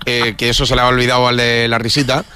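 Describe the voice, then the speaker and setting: A man's voice: medium pitch, little pitch movement, quick pace, bright, forceful tone, smooth. A man with a Spanish accent from Spain, an insistent panelist in a radio football debate who cuts in on others. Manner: argumentative, insistent, interrupting. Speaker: sports panelist.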